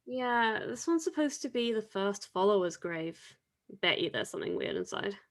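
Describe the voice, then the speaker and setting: A woman's voice: mid-range voice, expressive, normal speed, lightly acted. Wry, devout island woman, acted by a woman. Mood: uneasy. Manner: dry, teasing, reflective. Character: wry, devout island woman, teasing grave-robbing companion. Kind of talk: audio drama table read.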